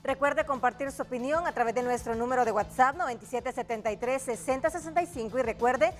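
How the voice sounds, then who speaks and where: A woman reading the scripted topic announcement for a talk show in a crisp, upbeat way. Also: high-pitched, quick pace, soft background music.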